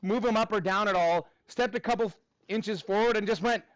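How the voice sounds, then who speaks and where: A man with a high-pitched voice works as a teacher in front of his class. He talks informally and with animation, encouraging the students as he goes, and the sound is close and dry. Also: a little fast, no echo, dull audio. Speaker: middle school math teacher.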